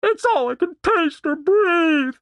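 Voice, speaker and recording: A narrator voicing a brash, foul-mouthed young country woman with a blunt, excitable, folksy drawl, as audiobook character dialogue. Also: mid-range voice, normal speed, dry recording, pitched above the performer's own voice. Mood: panicked, furious.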